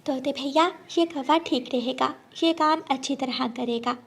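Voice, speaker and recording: A woman's voice: high voice, measured pace, gravelly. A woman voicing a polite, practical female bird who owns an orchard, in a children's cartoon. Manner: polite, matter-of-fact.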